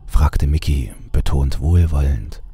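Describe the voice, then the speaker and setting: A man narrating a scripted horror story in a measured way, with dramatic pauses. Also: very deep voice, dark tone.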